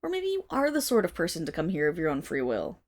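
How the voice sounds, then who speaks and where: A woman's voice: fairly low pitch, huge pitch swings, fast talker, full character voice. A woman playing a haughty, indignant young wolf monstergirl of noble birth in a dramatic ASMR roleplay, speaking disdainfully straight to the listener. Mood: scornful.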